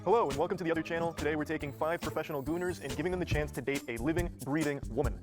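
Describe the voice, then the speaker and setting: A man's voice: a little high, very fast, over music. A man hosting with casual, breezy, amused commentary and plenty of swearing. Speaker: host.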